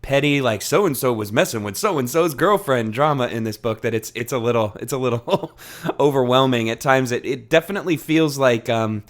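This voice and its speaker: A man with a middle-pitched voice co-hosts a casual book discussion. He talks in an informal, slangy way and steers the conversation from point to point. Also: normal speed. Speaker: podcast co-host.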